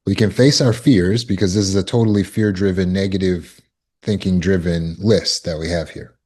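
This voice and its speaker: A man teaching a self-help lesson as a recovery coach, speaking slowly and deliberately and repeating affirmations. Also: low-pitched, steady tone, darkish tone.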